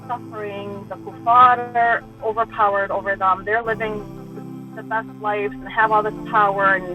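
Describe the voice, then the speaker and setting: A woman from the United States, asking a personal question in a call-in discussion, halting and searching for words with many restarts. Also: fairly high pitch, muffled recording.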